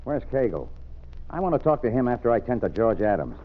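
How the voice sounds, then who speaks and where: An actor playing a stern, forthright American frontier doctor in an old radio western. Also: male voice, medium pitch, very animated, fairly quick, natural voice. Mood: firm, indignant. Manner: firm, forthright. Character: stern, brave frontier town doctor, adult man. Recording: tinny old radio recording.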